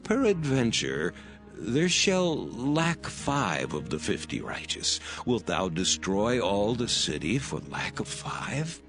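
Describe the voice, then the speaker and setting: An actor playing a humble, earnest, reverent old patriarch in a dramatized scripture reading. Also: male voice, on the low side, big pitch swings, takes it slowly, exaggerated voice. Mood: humble pleading. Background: music.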